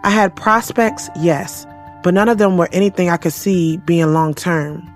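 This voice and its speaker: A woman's voice: fairly low pitch, steady tone, moderate pace, soft background music, lightly acted. A woman playing a frank, sassy young single woman in an audio drama, conversational, slangy and wry. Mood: wry, exasperated. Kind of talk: first-person audio drama narration and dialogue.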